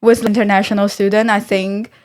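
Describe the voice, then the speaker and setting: A woman's voice: mid-range voice, little pitch movement, darkish tone. Earnest young woman from Taiwan. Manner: thoughtful, earnest, pauses to find words. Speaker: guest researcher. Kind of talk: conversational interview.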